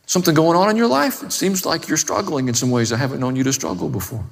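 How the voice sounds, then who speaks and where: A man's voice: fairly high pitch, fast, creaky. Male preacher in a reverberant hall. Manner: emphatic, plain-spoken, repeats short parallel phrases for stress. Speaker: pastor, preacher. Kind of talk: sermon. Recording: long reverberant hall, faint music.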